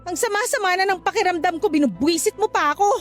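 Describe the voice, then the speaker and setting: A very high-voiced young Filipino mother in a radio drama. She is irritable and hurt, with curt, exasperated replies. Faint music runs beneath the dialogue. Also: fast talker. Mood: irritated, unwell. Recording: background music under the dialogue.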